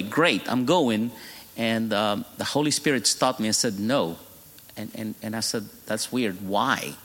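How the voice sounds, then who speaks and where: Middle-aged Egyptian-accented man, native Arabic speaker, guest preacher telling his testimony with self-deprecating humor, mid-pitched voice carried by long church reverb. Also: a bit bright. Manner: humorous storytelling, laughing at his own jokes. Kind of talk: sermon testimony.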